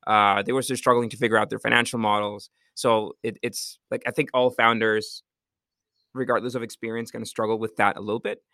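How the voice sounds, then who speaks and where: Bright-voiced Costa Rican founder, casual. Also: male voice, on the low side, level tone, a little fast, no room sound, studio quality. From Costa Rica. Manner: rambling, self-correcting, laughs often. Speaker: startup founder and CEO. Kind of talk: casual interview answers.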